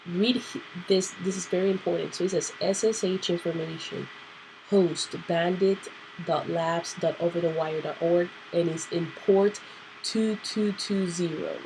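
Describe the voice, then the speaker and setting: A woman hosting a casual computer tutorial, nasal and clearing her throat because she is sick, thinking aloud with fillers. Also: on the low side, fairly even intonation, a little slow, darkish tone, slight background noise, rough recording. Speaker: tutorial host. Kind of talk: casual screen-recorded tutorial.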